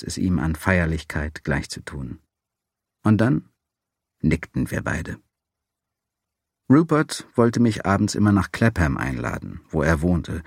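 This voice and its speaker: A man's voice: deep voice, no echo. A man reading a first-person memoir as an audiobook, with dry, wry storytelling. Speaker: audiobook narrator.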